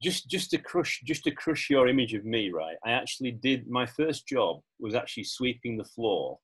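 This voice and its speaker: A British man in his fifties hosts a relaxed interview over a video call. His voice is slightly husky, and he rambles through his points, often pausing to check for agreement. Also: mid-range voice. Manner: rambling, searches for words, asks for agreement with "yeah?". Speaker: podcast host. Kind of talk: casual interview chat.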